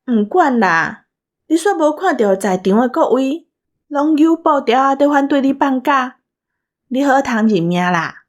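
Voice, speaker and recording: A woman's voice: sits high, animated, unhurried. A woman with a Taiwanese accent co-hosting a casual special, bossy and teasing in her banter. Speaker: co-host of a radio drama cast special.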